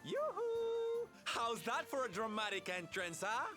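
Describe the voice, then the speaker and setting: A man's voice: extremely high pitch, wildly swooping pitch, measured pace, exaggerated voice. A man voicing a flamboyant, cheerful showman adventurer in a video game, camp and breezy with theatrical flourishes. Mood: gleeful showmanship. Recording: game audio over loud music.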